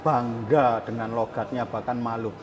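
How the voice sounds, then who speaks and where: A man's voice: mid-range voice, level tone, normal speed, a crowd in the background. A man from hosting a casual interview, dropping in English phrases. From Surabaya, East Java, Indonesia. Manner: mixes in English phrases, emphatic. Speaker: talk show host.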